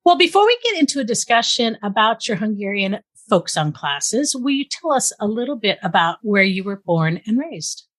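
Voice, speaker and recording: A woman's voice: medium pitch, big pitch swings, normal speed, few pauses. A woman hosting a podcast, reading a friendly intro and then interviewing a guest. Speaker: podcast host.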